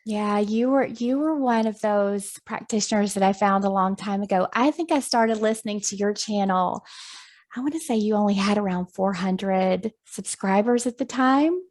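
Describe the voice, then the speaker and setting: A woman's voice: mid-range voice, normal speed, darkish tone. A woman from the United States, a guest in a video call interview who talks in a friendly, chatty way with many ums. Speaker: guest, hypnosis practitioner.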